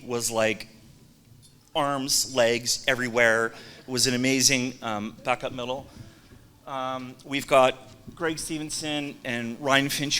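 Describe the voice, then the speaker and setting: A man in his forties from Canada gives a hall of fame speech with a bright, forceful voice. He teases his former teammates in a joking way and fills his pauses with lots of ums. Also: medium pitch. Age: 40s. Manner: joking, teasing, lots of um fillers. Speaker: former player giving an induction speech.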